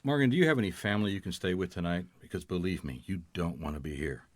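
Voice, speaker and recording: An actor playing a wry, dogged private detective in a radio drama, narrating and speaking in a dry, understated way. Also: male voice, low-pitched, fast talker, dry recording. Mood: quiet, sympathetic.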